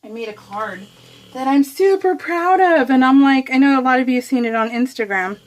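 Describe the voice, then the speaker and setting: Giggly, higher-voiced woman chatting while crafting. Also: expressive, normal speed, low-quality recording. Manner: giggly, self-deprecating, rambling. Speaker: craft vlogger. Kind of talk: casual chatty craft tutorial, narrated in real time.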